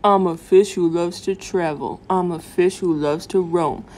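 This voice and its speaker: A woman's voice: fairly low pitch, steady tone, measured pace, a little mellow, natural voice. A woman voicing an earnest travel-loving fish in rhythmic rhyme for a children's storybook. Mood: eager. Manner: earnest, rhythmic rhyming delivery.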